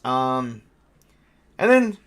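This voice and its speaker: A man's voice: mid-range voice, big pitch swings, drawn-out words, a bit bright, smooth. A man with a mid-range voice hosts a gaming stream. He talks casually and rambles along in an informal, slightly crude manner, like a game master chatting to viewers. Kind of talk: casual livestream chat.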